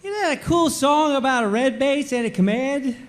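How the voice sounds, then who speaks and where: Childlike simpleton soldier, very high voice, earnest, halting, cartoon comedy. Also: male voice, expressive. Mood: naive, earnest. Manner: naive, earnest, slightly halting. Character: dim, childlike, cheerfully earnest male soldier. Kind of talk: animated comedy table read.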